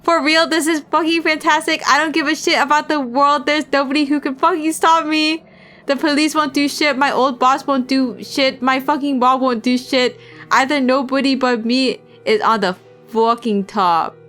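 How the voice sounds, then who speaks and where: A woman reads out a gang of brutal young male thugs in a storybook, their voices raised and high as they snarl and curse. They gloat and threaten in a loud, profane rush. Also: steady tone, moderate pace, faint music behind.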